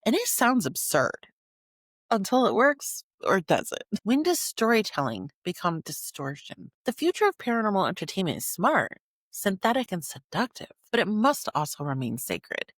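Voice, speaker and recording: Expressive woman hosting a podcast. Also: medium pitch, normal speed, dry recording, clean studio sound. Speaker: podcast host. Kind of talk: scripted podcast narration.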